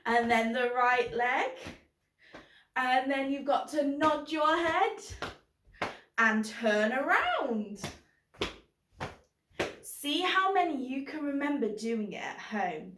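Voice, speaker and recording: A woman's voice: high voice, very animated, slow, long pauses, a bit bright, slight background noise. A woman presenting a children's book club in an encouraging way, recorded with a roomy echo. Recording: roomy, echoing sound.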